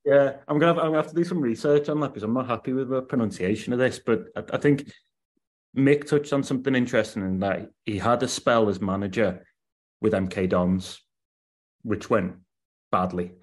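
A man's voice: medium pitch, fairly quick. A man, a football writer on a podcast, talking through his analysis at length in a casual chat. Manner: analytical, weighs points at length.

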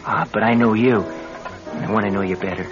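An actor playing a scheming husband, glib and coaxing, in an old-time radio drama. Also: male voice, lowish voice, normal speed, music in the background, natural voice. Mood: flirtatious. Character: scheming husband, smooth and glib, talking sweetly. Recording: muffled, hissy vintage radio recording.

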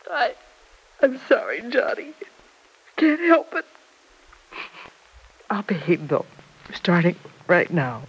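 Distraught middle-aged mother, husky, very expressive intonation, pleading, tearful, vintage radio drama. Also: lowish voice, slow pace, long pauses, exaggerated voice, low-quality recording. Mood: tearful, subdued. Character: distraught, anxious mother of a wounded girl. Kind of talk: 1940s-50s radio drama acting.

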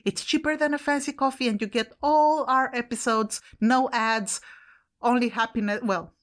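A woman's voice: fairly high pitch, fairly even intonation, moderate pace, a bit bright. A woman co-hosting a podcast with an Italian accent, chatting casually, laughing often and swearing now and then. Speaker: podcast co-host.

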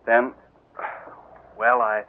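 A man's voice: mid-range voice, flat delivery, very slow. An actor playing a defensive young man, the boss's son, stammering at first in 1940s radio drama style. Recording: narrow old radio recording.

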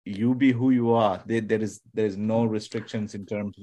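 A man from Sri Lanka, a podcast guest chatting casually, talking in halting bursts with many restarts and sudden emphasis. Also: lowish voice, fairly even intonation, warm tone. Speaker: podcast guest, former contestant. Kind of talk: casual chat.

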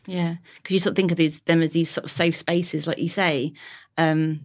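A woman hosting a podcast, asking gentle, hesitant questions full of fillers. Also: low-pitched, steady tone, normal speed. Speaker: podcast host. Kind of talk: interviewing guests.

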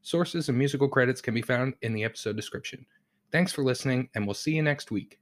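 A man co-hosting a casual true-crime podcast, musing in a relaxed way and reading the show's outro. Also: medium pitch, fast talker. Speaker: podcast co-host.

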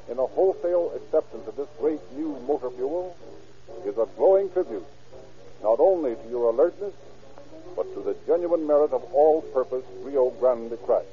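Tinny 1930s radio announcer, a man. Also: a little high. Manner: formal, declamatory. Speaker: announcer and narrator. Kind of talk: 1930s radio announcing, scripted sales pitch and narration. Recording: tinny, band-limited 1930s radio recording.

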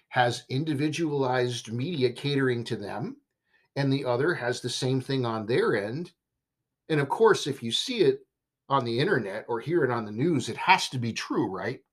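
Male commentator, reflective monologue, measured and earnest delivery. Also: mid-range voice. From United States.

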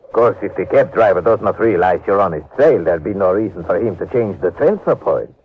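A man's voice: low voice, animated, fairly quick, breathy edge. A man playing a dutiful, earnest police captain in a classic radio drama, formal and careful in his speech. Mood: doubtful. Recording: tinny, noisy vintage radio recording.

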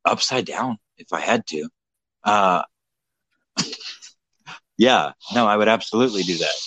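A man's voice: lowish voice, lively intonation, slow pace, pushed and bright, slightly rough, dry recording. A young man in casual livestream chat, slightly drunk, rambling, swearing and mocking himself. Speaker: livestream co-host.

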